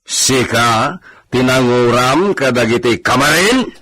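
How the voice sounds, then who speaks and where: A man's voice: medium pitch, expressive, takes it slowly, bright, forceful tone, full character voice. A man acting a cruel, domineering rich landlord in a radio drama, with a commanding, menacing delivery.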